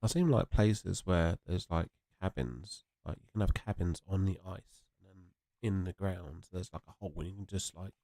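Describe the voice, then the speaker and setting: A man's voice: deep voice, normal speed, hardly any pauses, dark tone, no echo. A young man with a congested, sniffly voice, rambling casually with viewers while streaming a game. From England. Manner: rambling and chatty, answering viewers. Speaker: gaming streamer. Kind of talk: casual livestream chat while playing.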